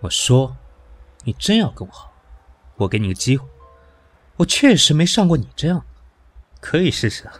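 A man playing an indignant, sharp-tongued boss in a modern audio drama, curt and scornful. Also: medium pitch, very animated, moderate pace, long pauses, breathy edge, faint music behind. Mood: challenging.

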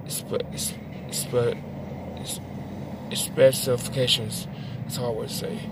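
Guy in his 40s reading the paper out loud in his car, flat monotone, keeps tripping on words, phone mic, road noise. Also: medium pitch. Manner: halting reading, stumbles and restarts words. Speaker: vlogger reading the news aloud. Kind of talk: reading a newspaper article aloud with asides. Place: inside a parked car at dusk. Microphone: phone held at arm's length.

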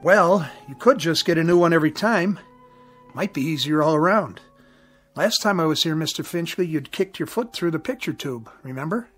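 Higher-voiced, plainspoken repairman. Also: lively intonation, normal speed, fairly bright tone, faint music behind. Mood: matter-of-fact. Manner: folksy, blunt, colloquial. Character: plainspoken, patient working-class male TV repairman. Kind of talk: radio drama acting.

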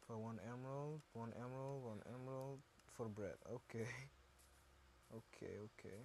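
A man with a deep voice giving casual gameplay commentary, repeating words as he mutters to himself. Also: steady tone, normal speed, a little mellow, slight background noise. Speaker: gaming video host.

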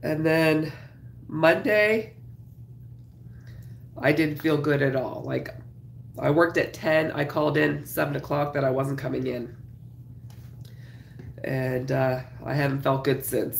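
Raspy, congested woman streaming from home. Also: deep voice, takes it slowly, long pauses, reverberant, slight background noise. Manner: chatty, greets viewers while crocheting. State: congested, sore throat. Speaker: craft livestream host. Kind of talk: casual livestream chat. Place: small home craft room, indoors.

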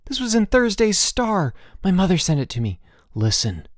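A man's voice: medium pitch, big pitch swings, normal speed, creaky, no room sound, studio quality. A man voicing a scheming schoolboy prankster, urgent and theatrically earnest, in a storybook reading. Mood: feigned alarm. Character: sly, scheming schoolboy prankster feigning alarm.

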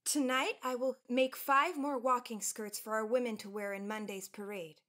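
A woman's voice: a little high, steady tone, moderate pace, bright, forceful tone. An actress playing an earnest, proud young college woman of the 1910s with formal, careful elocution in a radio drama. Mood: proud, resolute. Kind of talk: period radio drama acting.

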